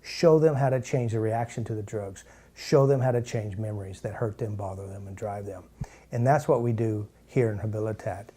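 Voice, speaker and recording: Male trainer, mellow tone, loose earnest delivery with restarts. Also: on the low side, normal speed. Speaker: method founder and trainer. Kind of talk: casual explanatory talk.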